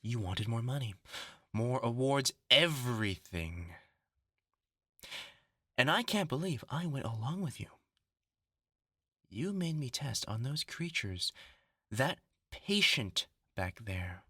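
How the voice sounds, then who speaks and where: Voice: mid-range voice, big pitch swings, takes it slowly, long pauses, bright, forceful tone, slightly rough, dry recording, clean studio sound, full character voice. An actor in a horror audio roleplay voicing a bitter, grandiose scientist bent on revenge, mocking, theatrical and menacing. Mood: scornful, bitter. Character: vengeful, gloating scientist turned superhuman, bitter and grandiose.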